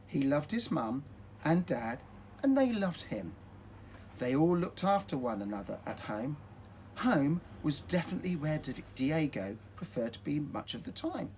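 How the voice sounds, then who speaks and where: A man reading a children's story aloud in an expressive, dramatic storytelling manner. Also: on the high side, slight background noise.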